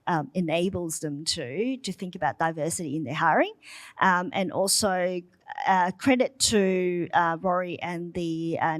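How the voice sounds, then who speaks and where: A woman panelist makes a short point with a hesitant delivery and many "um"s and "uh"s. Her voice is slightly low for a woman and sounds close and dry. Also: no room sound. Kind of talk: panel discussion remark.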